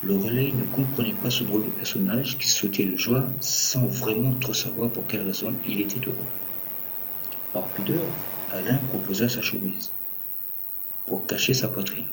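A man's voice: fairly low pitch, moderate pace, noisy background. A man narrating a scripted audio fiction as a storyteller.

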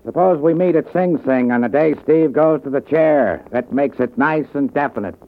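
An American actor playing a hard-nosed, sarcastic police sergeant in a 1940s radio drama. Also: male voice, fairly high pitch, moderate pace, over loud music, lightly acted. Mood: sarcastic, tough. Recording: tinny, hissy old radio broadcast.

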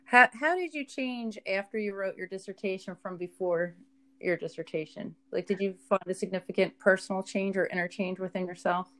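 A woman with a mid-pitched voice interviews her guest. She asks curious, conversational questions. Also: a little fast, fairly bright tone.

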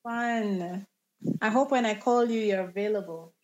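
Woman guest chatting on a podcast, animated, laughs a lot, kinda muffled lo-fi sound. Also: medium pitch, unhurried. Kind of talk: casual podcast interview.

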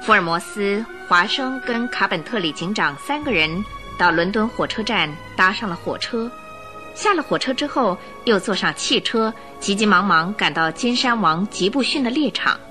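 Animated woman narrating radio drama. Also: medium pitch, moderate pace, over music.

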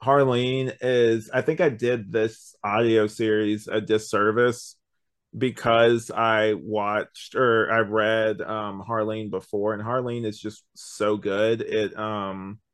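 A man's voice: lowish voice, level tone, slow pace, clear, resonant tone, dry recording, clean studio sound. A young man co-hosting a podcast, giving a casual, rambling conversational review. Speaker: podcast co-host.

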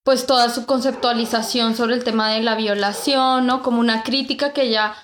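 A woman's voice: on the high side, fairly even intonation, fairly quick, echoey room, slight background noise. A woman speaking as a guest in an academic discussion, hesitant and full of fillers and tag questions.

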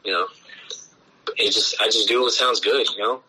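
A man, a punk band's bassist, talking loosely in a casual interview, full of swearing and filler words. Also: moderate pace, bright, forceful tone, husky, rough recording. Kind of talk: casual podcast conversation.

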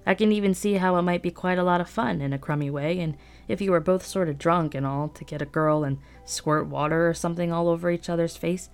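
A woman reads a novel aloud in first person, in a relaxed, chatty, wry manner as the host of her own podcast. Her voice sits on the lower side. A quiet music bed runs faintly underneath. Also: fairly quick, hardly any pauses, clear, resonant tone. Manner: conversational, wry storytelling read. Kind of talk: first-person audiobook narration.

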